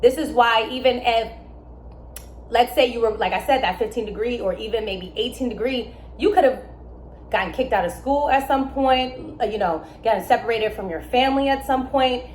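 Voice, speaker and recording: A young adult woman, born in Germany and raised in America, explaining astrology in a casual, emphatic way with lively asides. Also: fairly high pitch, moderate pace, slight background noise. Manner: animated, emphatic, with asides and laughs. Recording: echoey room with faint ambience.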